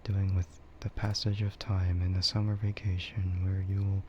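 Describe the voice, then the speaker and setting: A man streaming in a casual, rambling ASMR chat, speaking softly and hesitantly in a meandering way. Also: low voice, level tone, normal speed. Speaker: VTuber streamer. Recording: dull lo-fi microphone with faint noise.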